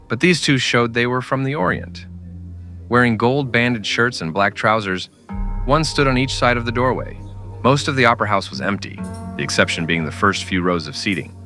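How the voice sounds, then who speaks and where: A man narrating a scripted western in old-time radio style, with dramatic, deliberate storytelling. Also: mid-range voice, animated, bright, forceful tone, soft background music.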